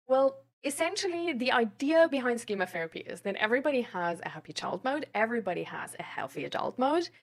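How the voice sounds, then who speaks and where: A young adult woman chatting casually as a live streamer, explaining things in an informal, rambling way with lots of filler tags. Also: fairly high pitch. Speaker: live streamer talking with chat viewers. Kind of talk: casual livestream chat about mental health and self-improvement.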